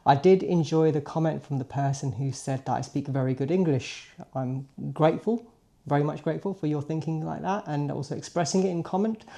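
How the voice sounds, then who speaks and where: A man's voice: on the high side, brisk, vocal fry, mellow. A man in his late forties, a native Punjabi speaker, talking chattily and informally in a daily vlog, often checking in with a questioning tag. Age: late 40s. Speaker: car vlogger. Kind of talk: casual daily vlog monologue to viewers.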